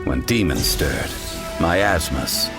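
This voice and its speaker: A man playing a solemn storyteller in a video game, reading an ancient legend in a grave, measured, portentous way. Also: a bass voice, lively intonation, vocal fry, full character voice. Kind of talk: scripted dramatic narration in a video game. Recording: loud music behind the voice.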